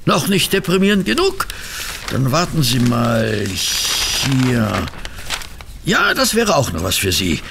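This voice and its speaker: A man playing a chatty, good-natured Victorian gentleman who fusses and mutters, in a German audio drama. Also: medium pitch, wildly swooping pitch, slow, gravelly, noisy background, full character voice. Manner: fussy, muttering to himself while reading.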